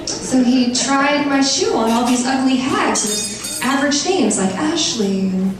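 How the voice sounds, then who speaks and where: Brief: a woman playing a self-absorbed princess, breezy and a touch high, echoey room from far off. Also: lively intonation, unhurried. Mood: gushing. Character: vain, airy fairy-tale princess, young woman.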